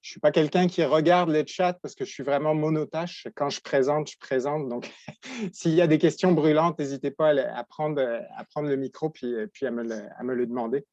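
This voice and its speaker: Halting French-accented man, dull dry sound. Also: fairly high pitch, fairly even intonation, darkish tone, dry recording. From France. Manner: halting, many restarts and repeated words. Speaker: veterinary professor presenting. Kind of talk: webinar presentation.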